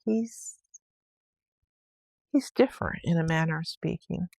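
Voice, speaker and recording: Give the storybook housekeeper as a woman reader acts her out a flustered, deferential manner. She explains things hesitantly and respectfully, puzzled and anxious. The voice has a creaky edge and sits close and dry. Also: on the low side, animated, measured pace, a little mellow, dry recording, exaggerated voice. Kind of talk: storybook read-aloud character voice.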